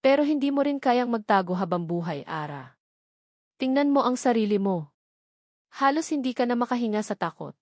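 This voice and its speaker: A woman's voice: a little high, lively intonation, moderate pace, darkish tone, no echo, clean studio sound, lightly acted. A woman voicing a worried, supportive female friend in a story, earnest and urging. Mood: reassuring.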